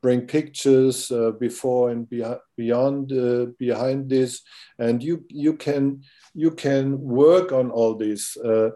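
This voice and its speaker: A man in his sixties with a German accent, a podcast guest in an interview, speaking haltingly with many fillers as he searches for words. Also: mid-range voice, steady tone, mellow. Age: 60s. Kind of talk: interview conversation.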